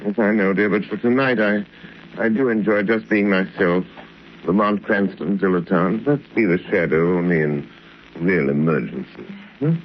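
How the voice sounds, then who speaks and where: An actor playing a suave, wealthy, urbane dilettante in a 1930s radio drama. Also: male voice, deep voice, close to an everyday voice. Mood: urbane, relaxed. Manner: urbane, unhurried, genteel. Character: suave wealthy male dilettante, secretly a crime fighter. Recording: noisy, muffled 1930s radio recording.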